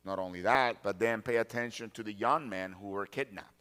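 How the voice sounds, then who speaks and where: Pastor, male, 40s; bright, forceful tone, emphatic repetition. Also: lowish voice. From Colombia. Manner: deliberate, emphatic repetition of key phrases. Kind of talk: sermon.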